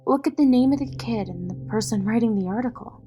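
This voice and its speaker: A woman playing a loyal, steady partner to a vigilante in an audio drama, frank and measured. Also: a little high, close to an everyday voice. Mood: serious. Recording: dramatic music underneath. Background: music.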